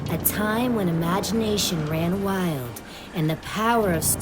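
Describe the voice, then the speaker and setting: Slow, theatrical woman horror host. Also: on the low side. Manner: theatrical, dramatic, suspenseful. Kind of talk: scripted spooky introduction. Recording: music playing behind the voice. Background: prominent music.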